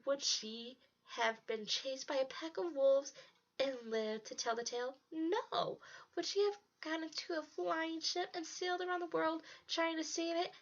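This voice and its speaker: A woman's voice: high voice, fairly even intonation, a little slow, voice pushed up. A woman voicing a vain, melodramatic young storybook queen in a theatrical, emphatic manner. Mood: indignant. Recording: dull lo-fi home recording.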